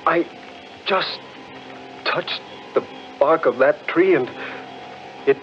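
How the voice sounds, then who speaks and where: Highish-voiced, excitable gentleman. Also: slow pace, faint music behind. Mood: shaken. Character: excitable gentleman, Crane, quick to panic. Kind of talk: 1940s radio horror acting. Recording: muffled, hissy 1940s radio recording.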